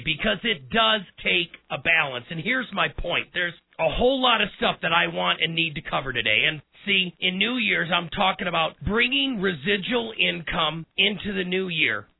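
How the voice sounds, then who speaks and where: A man hosting a radio talk show, hoarse and raspy today, speaking in a folksy way straight to his listeners. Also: sits high, no echo. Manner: folksy, direct address to listeners. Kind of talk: casual one-on-one radio talk. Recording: tinny phone-like sound.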